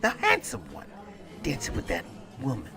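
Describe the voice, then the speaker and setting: A man's voice: medium pitch, huge pitch swings, moderate pace, gravelly, over music. A man voicing a doting, nosy, coaxing middle-aged mobster mother in a comic book drama.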